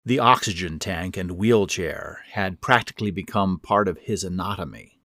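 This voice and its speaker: A man's voice: sits low, moderate pace, bright, forceful tone, no room sound, clean studio sound. A man narrating scripted first-person horror fiction as its author and reader.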